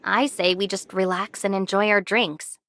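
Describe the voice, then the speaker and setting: A woman's voice: medium pitch, steady tone, moderate pace, a bit bright, no echo, lightly acted. An actress playing a tactful, guarded young woman in an anime game, speaking expressively and diplomatically. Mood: calm, placating. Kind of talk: English anime game voice acting.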